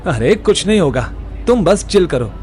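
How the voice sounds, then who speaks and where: Man playing a high-voiced, cocky young schemer. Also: big pitch swings, normal speed, darkish tone, soft background music, some chatter behind. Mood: confident, reassuring. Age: young adult. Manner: confident, commanding, mocking. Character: cocky young male schemer, secretive, foul-mouthed, bossy. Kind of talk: Hindi audio comedy-horror drama acting.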